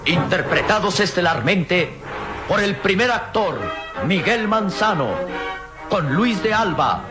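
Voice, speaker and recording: Slow, high-pitched male announcer over loud music. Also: lively intonation. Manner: declamatory, solemn. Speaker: serial announcer. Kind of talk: grand old radio opening-credits announcement. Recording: old radio recording, loud music behind.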